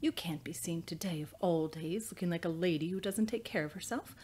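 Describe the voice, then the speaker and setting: A woman's voice: lowish voice, fairly quick, a little mellow, husky. A woman voicing a fussy, chiding mother in a historical novel. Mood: hushed chiding. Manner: chiding, exasperated. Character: scolding, fussy Edwardian mother.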